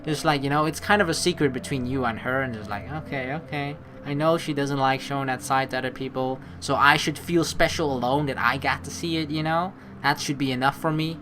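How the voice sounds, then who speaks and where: A man hosting a let's play, giving casual, reflective commentary in a rambling, halting way and reading dialogue aloud. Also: medium pitch, steady tone, background noise. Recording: game music behind the voice.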